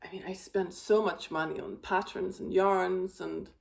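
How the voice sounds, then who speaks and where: A woman hosting a knitting video, chatting casually about her projects, with a slightly raspy voice and a rambling, filler-heavy way of talking. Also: on the low side, fairly even intonation, measured pace. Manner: rambling, many fillers and restarts. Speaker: knitting podcast host.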